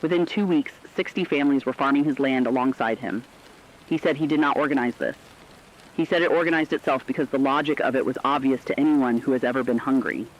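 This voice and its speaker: A man reading a scripted human-interest news report in a plain, measured storytelling manner. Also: mid-range voice, lo-fi sound. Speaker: radio feature reporter.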